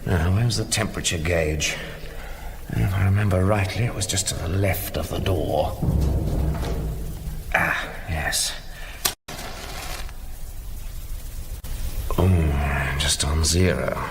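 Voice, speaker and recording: An actor playing a grumbling middle-aged British factory boss in a radio drama, muttering in a clipped, matter-of-fact way. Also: male voice, deep voice, slow pace, gravelly, music in the background, heavily acted.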